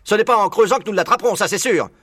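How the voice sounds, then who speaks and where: A man voicing a furious, half-deaf, skinny villainous farmer in a children's storybook, shouting and bossing people about. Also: high voice, racing, no echo, clean studio sound, pitched above the performer's own voice. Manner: shouting, bossy, commanding.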